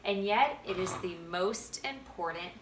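A woman's voice: fairly low pitch, expressive, unhurried, distant mic. A woman reading the part of a kindly, wise storybook grandfather, patient, encouraging and emphatic. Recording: roomy home recording with background noise.

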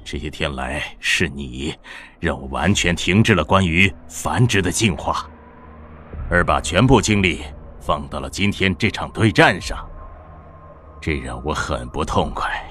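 A man's voice: a bass voice, lively intonation, measured pace, a bit bright, slight background noise, full character voice. A man voicing a cold giant monster villain. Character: huge armored evolved monster villain, male, calm, cold.

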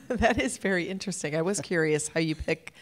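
A woman's voice: lowish voice, normal speed. A middle-aged woman hosting a live campus interview, asking questions in a friendly, curious way into a handheld mic. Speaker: university president hosting. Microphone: handheld microphone.

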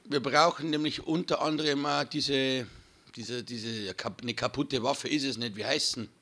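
A hoarse man, chatting casually to viewers while commentating his own gameplay. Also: medium pitch, steady tone, moderate pace, sharp tone. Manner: chatty, addresses viewers as friends. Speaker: gaming channel host. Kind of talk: casual let's-play commentary.

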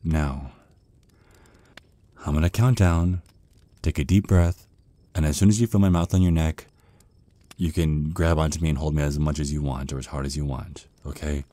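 A man's voice: rumbling low, fairly even intonation, moderate pace, long pauses, dark tone, dry recording. A man playing a shy, nervous, smitten vampire on a first date in an ASMR roleplay, stammering and hesitant. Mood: anxious, careful.

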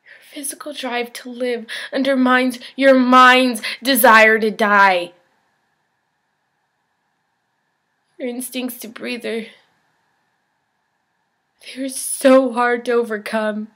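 A woman performing a dramatic monologue as a despairing young woman, speaking in a halting, emotional confession. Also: a little high, fairly even intonation. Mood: angry, anguished. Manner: halting, emotional, swinging from hushed to anguished. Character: despairing suicidal young woman baring her pain in confession.

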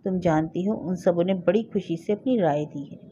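A woman's voice: fairly low pitch, normal speed, mellow, dull audio, a little hiss or hum, lightly acted. A woman voicing a blustering, easily cowed elder brother in a village story, indignant and boastful. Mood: complaining.

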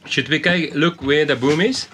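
A man's voice: fairly high pitch, moderate pace, a bit bright. A man in his forties in casual conversation, recorded by a camera in the covered open cockpit of a boat. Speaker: boat owner, vlog regular. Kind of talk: casual chat. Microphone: camera a few feet away. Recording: clear background noise and clicks, outdoors.

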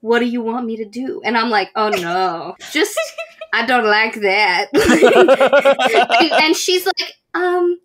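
Young female podcast host, higher register, very expressive intonation, giggly casual storytelling. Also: slow. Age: young adult. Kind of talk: casual book recap with acted-out dialogue. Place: indoors, room with bookshelves.

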